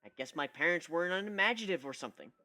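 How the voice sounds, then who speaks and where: A man playing a wisecracking, excitable young male griffin in a theatrical, sarcastic story reading. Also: a little high, rapid-fire. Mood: feigned confusion.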